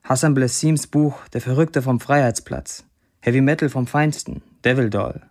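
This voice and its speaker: An actor playing a brash young Syrian writer, delivering an intimate first-person monologue in a radio drama. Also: male voice, medium pitch, normal speed, a little mellow, close to an everyday voice. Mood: candid, intimate. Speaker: lead actor reading a writer's status posts.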